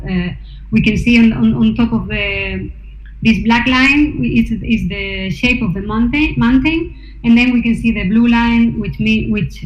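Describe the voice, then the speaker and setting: A woman artist presenting her research to slides, hesitant, with many fillers and restarts. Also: medium pitch. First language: not Norwegian. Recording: echoey room, dull sound, faint noise.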